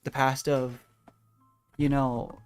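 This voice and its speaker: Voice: mid-range voice, level tone, slow, smooth. A game streamer thinking aloud in casual, musing commentary while playing. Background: faint game music.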